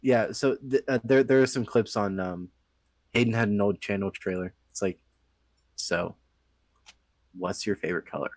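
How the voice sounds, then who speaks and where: A young man chatting casually as a podcast guest, halting and dryly understated. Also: on the low side, no echo. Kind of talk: casual chat, reminiscing.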